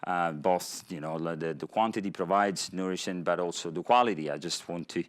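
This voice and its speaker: A man from Italy with an Italian accent, a university professor giving a public lecture in a halting way, pausing often to find his words. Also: low-pitched. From Italy (Naples). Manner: halting, searches for words with many pauses.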